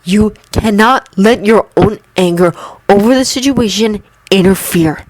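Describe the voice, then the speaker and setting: A calm young mentor who speaks softly but sternly, as a woman reader voices him. His tone is quiet, even and serious. The sound is dry and close. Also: mid-range voice, unhurried, dry recording, natural voice, rough recording. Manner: soft, stern.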